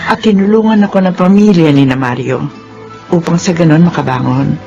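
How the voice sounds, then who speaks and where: A woman's voice: fairly low pitch, brisk, vocal fry, dark tone. A woman playing a supportive, motherly married woman in a radio drama, earnest and reassuring. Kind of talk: Filipino radio drama acting. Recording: muffled band-limited sound with background music.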